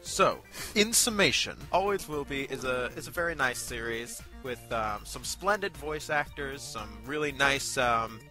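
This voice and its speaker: A man co-hosting a casual review show, giving measured, agreeable commentary with some hesitation. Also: medium pitch, animated, pushed and bright, soft background music. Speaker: co-host of an amateur review show.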